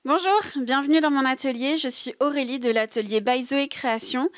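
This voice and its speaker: A woman's voice: sits high, brisk, dry recording. A woman from France who runs a small craft business, explaining her work in her workshop with many hesitations and fillers. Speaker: craft maker. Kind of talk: workshop demonstration talk.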